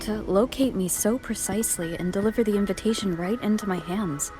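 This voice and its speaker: A woman playing a composed, wary video game protagonist, speaking in a measured, reflective way. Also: medium pitch, over music, natural voice. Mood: intrigued. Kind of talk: video game character acting.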